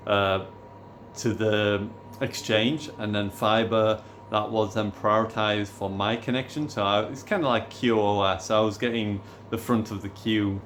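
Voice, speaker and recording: A man, hoarse from losing his voice, talking casually and at length to his viewers as a gaming YouTuber. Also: fairly low pitch, fairly even intonation, unhurried, a bit bright, a little hiss or hum. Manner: rambling, repeats "obviously" and restarts often. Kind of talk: casual rambling vlog talk.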